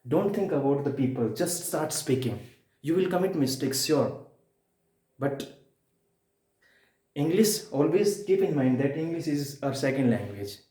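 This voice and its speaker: A man from India with an Indian accent and Hindi as his first language, giving a spoken-English lesson in a repetitive way, with rhetorical questions and emphatic pauses. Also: fairly high pitch, expressive, mellow. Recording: echoey room.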